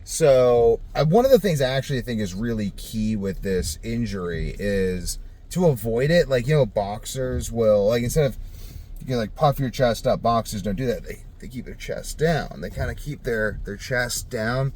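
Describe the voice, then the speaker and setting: A man's voice: mid-range voice, lively intonation, moderate pace, a little mellow, a little hiss or hum. A man talking casually off the cuff for a video diary, thinking aloud in a rambling way. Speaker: vlogger.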